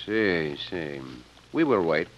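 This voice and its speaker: An actor playing a suave Mexican adventurer in old radio drama, with a Mexican accent and a courtly, wry manner. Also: male voice, deep voice, lively intonation, slow pace. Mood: defiant, controlled. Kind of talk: 1940s-50s radio drama acting. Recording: dull old radio recording.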